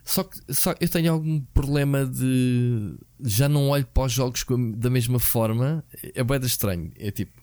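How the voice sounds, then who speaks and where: A hoarse man with a European Portuguese accent, co-hosting a podcast in a relaxed, rambling chat full of fillers and restarts. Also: medium pitch, normal speed, no room sound, clean studio sound. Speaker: podcast co-host. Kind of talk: casual chat about video games.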